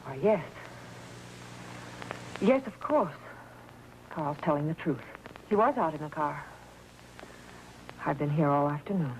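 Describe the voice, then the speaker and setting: A haughty, evasive wife speaks defensively in a voice a little on the low side. It is classic 1950s television crime drama acting, with slight noise on the old soundtrack. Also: female voice, expressive, unhurried, long pauses, poor audio. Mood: flustered. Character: indignant, evasive wealthy wife suspected of murder.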